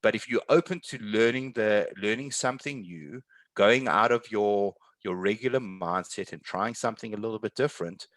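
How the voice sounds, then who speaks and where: A man's voice: lowish voice, moderate pace, a bit bright, dry recording. A man speaking as a panelist in an online webinar, giving earnest, encouraging and emphatic career advice. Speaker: webinar panelist, tech sales professional.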